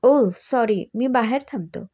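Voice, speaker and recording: A woman's voice: on the high side, measured pace, dark tone, pitched above the performer's own voice. A woman storyteller voicing a polite male pharmacist who reacts with astonished questions. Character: polite, incredulous male pharmacist, easily shocked.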